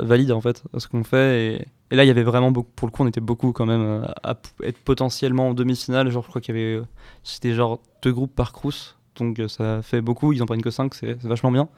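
A man's voice: mid-range voice, fairly even intonation, fast talker, hardly any pauses, a little mellow, dry recording, studio quality. A young man in his twenties from France, a band musician chatting casually and playfully in an interview, rambling at times.

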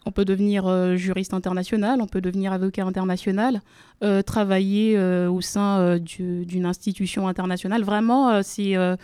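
A woman's voice: mid-range voice, flat delivery, brisk, dark tone, clear, resonant tone, no echo. A young woman from the Democratic Republic of the Congo, a law student guest on a radio interview, speaking formally with many hesitations. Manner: hesitant, many fillers, careful formal phrasing. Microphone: studio microphone.

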